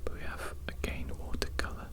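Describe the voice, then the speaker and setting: A performer whispering as an evil scientist who tests a psychic potion on the listener and calmly insults them. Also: a little fast, lightly acted. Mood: musing. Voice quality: unvoiced whisper throughout. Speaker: ASMR roleplay creator. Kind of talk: whispered ASMR roleplay.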